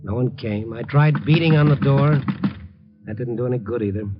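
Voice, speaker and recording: A hard-boiled American newsman, played by a man, terse yet caring, in 1950s radio style. His medium-pitched voice sits in a dull vintage recording with strong music. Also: moderate pace, close to an everyday voice. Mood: tense, reflective. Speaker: lead actor and narrator.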